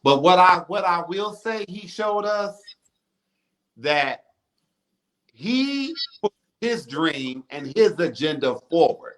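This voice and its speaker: A man in his forties with a strong baritone voice, a radio host speaking as an interview guest in an emphatic, animated way. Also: slow, long pauses. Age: 40s. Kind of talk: casual interview conversation.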